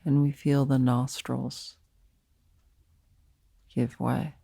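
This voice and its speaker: A woman leading a guided meditation as a teacher, speaking softly and soothingly in short, unhurried phrases with pauses between them. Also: very deep voice, little pitch movement, vocal fry, slightly rough, dry recording, clean studio sound.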